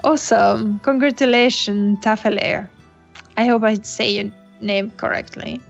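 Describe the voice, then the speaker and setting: A woman hosting a casual gaming webcast over a call, speaking slowly and laughing often. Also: mid-range voice, steady tone, gravelly. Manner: slow, laughs often, non-native English phrasing. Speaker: podcast host. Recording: dull lo-fi audio with faint music.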